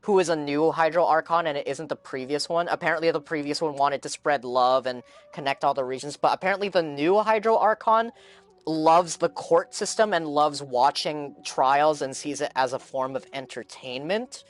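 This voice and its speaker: A man's voice: a little high, moderate pace. A man streaming a game, giving casual live reaction commentary and thinking aloud in a rambling way.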